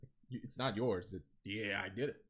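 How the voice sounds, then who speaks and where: A man's voice: lowish voice, fairly quick, fairly bright tone, slightly rough. Blunt Serbian-accented young man. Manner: blunt and profane, with lots of fillers. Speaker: vtuber streamer. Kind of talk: casual rambling stream chat.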